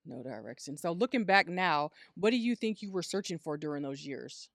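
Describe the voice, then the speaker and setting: A man hosting an interview podcast, reading an introduction and then asking questions, answering the guest with steady affirmations. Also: fairly high pitch, fairly quick, studio quality. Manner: affirming, echoes guest with "that's right" and "yes". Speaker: podcast host. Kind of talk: interview host.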